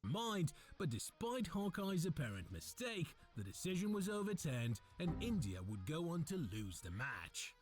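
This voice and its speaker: A man reading a scripted countdown narration with a lively, dramatic storytelling delivery. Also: fairly high pitch, a little slow, few pauses, soft background music. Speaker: narrator of the reacted video.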